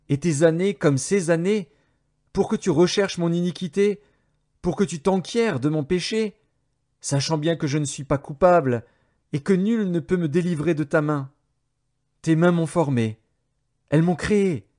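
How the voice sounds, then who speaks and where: Male narrator for audio Bible, slightly high voice, scripted scripture reading. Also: measured pace, pauses often, darkish tone.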